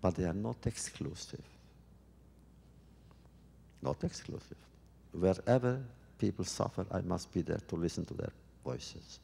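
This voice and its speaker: Gravelly, husky man in a reverberant hall. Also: deep voice, animated, mellow. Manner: halting, thoughtful, frequent restarts. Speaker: guest speaker answering audience questions. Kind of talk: public talk with Q&A.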